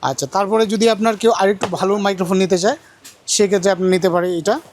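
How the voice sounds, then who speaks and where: Bangladeshi male shop seller, quick and high-pitched, informal demo with repeated phrases. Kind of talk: informal product demonstration. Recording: clearly noisy audio with clicks.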